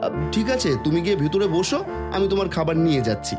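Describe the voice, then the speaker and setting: A man's voice: high voice, fast, over music, lightly acted. A man voicing a greedy, sly village food-stall owner in a cartoon moral story. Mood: matter-of-fact.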